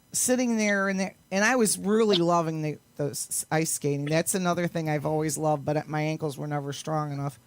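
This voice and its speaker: A middle-aged woman chatting casually as an interview guest, rambling along in an enthusiastic way. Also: lowish voice. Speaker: guest, amateur actress and singer.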